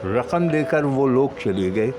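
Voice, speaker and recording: Slow, expressive male storyteller over music. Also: medium pitch. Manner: measured, deliberate, pausing between short phrases. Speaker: storyteller reading own short stories. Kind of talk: dramatic Urdu short-story narration. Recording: music behind the voice.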